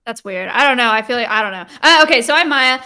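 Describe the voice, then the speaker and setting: Casting: young woman, early twenties, podcast co-host, bright forward voice, slightly high, informal chat. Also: animated, fast, clean tone. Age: 20s. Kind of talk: casual chat.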